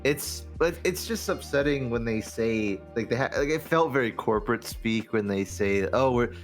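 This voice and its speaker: A man in a casual podcast discussion, complaining in an animated way and often saying "like". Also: mid-range voice, moderate pace, faint music behind. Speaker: podcast guest.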